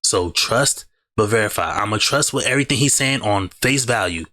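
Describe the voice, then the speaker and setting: A man gives blunt, slang-heavy commentary on clips he is reacting to, calling everyone "bruh." His voice is a little low but bright and forward. It sits dry and close on the mic. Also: animated, moderate pace, no echo. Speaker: reaction host. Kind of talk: casual commentary over clips.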